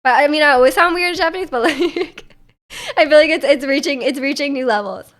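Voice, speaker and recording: A young woman from Canada with a high, light voice chats loosely to her stream audience. She rambles and giggles, filling her talk with "like" and quick restarts. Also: fairly bright tone. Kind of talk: casual chat.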